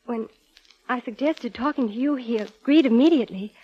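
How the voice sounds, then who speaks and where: An actress playing an anxious, halting, earnest woman seeking help for her brother in a 1950s radio drama. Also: fairly high pitch, warm tone, husky. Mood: worried. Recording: dull vintage radio recording.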